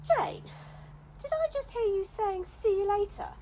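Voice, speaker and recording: A woman's voice: very high-pitched, lively intonation, a little slow, mellow, a little hiss or hum. A woman playing a teasing, theatrical, gossipy British tennis club member in an amateur radio comedy. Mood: chirpy, curious.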